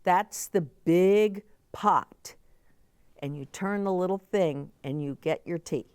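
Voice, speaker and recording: A woman's voice: on the low side, slow pace, darkish tone, clean tone, no echo. A middle-aged woman reading a children's story aloud, expressively, with chatty asides explained simply as if to young children.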